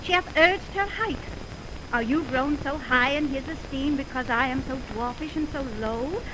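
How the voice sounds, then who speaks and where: A crackly, noisy 1929 radio recording carries a very high female voice. An actress plays a little, fiery young woman, jealous and indignant, declaiming theatrically as in an old Shakespeare broadcast. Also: normal speed, close to an everyday voice. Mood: furious, indignant. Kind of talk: 1920s radio Shakespeare acting.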